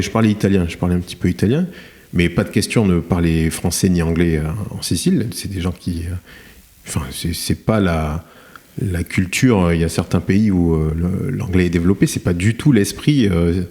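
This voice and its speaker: Deep, mellow man, halting. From France. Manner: hesitant, many fillers and restarts. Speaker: guest, cement plant director. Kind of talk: podcast interview, reflective storytelling.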